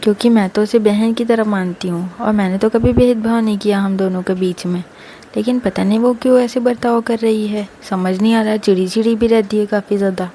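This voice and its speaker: A woman's voice: mid-range voice, fairly even intonation, fairly quick, mellow, lightly acted. A woman voicing an earnest elder daughter-in-law in a family story, conversational and plain. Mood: puzzled. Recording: clear background noise.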